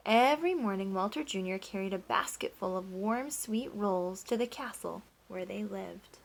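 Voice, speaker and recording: A woman teacher reading a picture book aloud, pausing to explain and to ask the children questions. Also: medium pitch, vocal fry, slight background noise.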